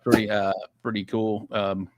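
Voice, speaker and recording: Low-voiced man rambling on a video call. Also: flat delivery, normal speed, no echo, muffled recording. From Texas. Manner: rambling with many restarts, laughs easily. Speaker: guest podcast host. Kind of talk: casual livestream chat. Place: home office.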